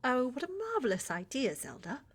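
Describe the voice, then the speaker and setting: A woman's voice: on the high side, very animated, brisk. A woman playing a flirty, motherly witch shopkeeper in an ASMR fantasy roleplay, with a coaxing, teasing manner. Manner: coaxing, teasing, addresses listener as dear.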